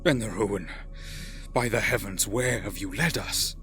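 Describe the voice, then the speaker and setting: Male alien warrior sidekick played by a man: slightly low voice, earnest, guarded, easily awed, audio drama with music beneath. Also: measured pace, pushed and bright. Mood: wary. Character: male Eldar warrior companion, wary, awestruck, questioning. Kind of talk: scripted audio drama acting. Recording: music under the voice.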